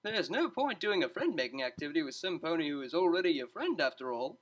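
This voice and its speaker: Woman putting on a breezy, animated male pony tutor. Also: sits low, quick pace, rough recording. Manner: upbeat, chatty, coaxing. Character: cheerful, meddling male pony psychology tutor, unflappable.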